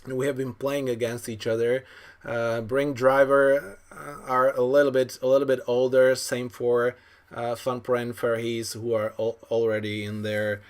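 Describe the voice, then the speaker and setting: A man around forty giving live bridge commentary as a coach, thinking aloud in an analytical, unhurried way. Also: medium pitch, flat delivery, smooth. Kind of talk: live card game commentary.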